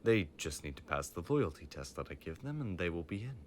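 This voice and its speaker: A man's voice: sits low, very animated, fairly quick, slight background noise. A man playing a stern, menacing mafia boss father with a formal, commanding manner in an audio roleplay. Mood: smug, commanding. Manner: formal, commanding, coldly threatening.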